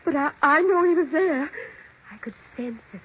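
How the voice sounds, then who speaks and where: Frightened woman, high-pitched, agitated, easily hysterical, 1940s radio mystery, tinny narrow sound. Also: lively intonation. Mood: desperate pleading. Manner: agitated, halting, insistent. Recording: tinny, faintly noisy 1940s radio recording.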